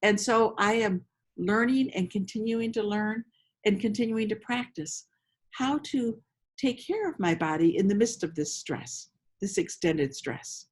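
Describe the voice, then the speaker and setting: Slow, unhurried woman guiding a meditation. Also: mid-range voice, husky. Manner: slow, unhurried, inviting, with long pauses. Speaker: guided meditation host. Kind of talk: guided meditation, gentle storytelling. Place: outdoors among roses.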